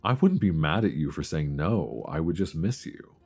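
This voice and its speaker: A man acting as a lovestruck male friend in an intimate ASMR romance roleplay. His voice is extremely low with a gravelly creak, soft and hesitant, spoken close to the mic over faint music. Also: big pitch swings, heavily acted. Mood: earnest, hesitant. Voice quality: deep voice. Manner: hesitant, soft, earnest, with sighs and pauses. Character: lovestruck longtime male friend confessing love, tender and nervous. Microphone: close binaural microphone.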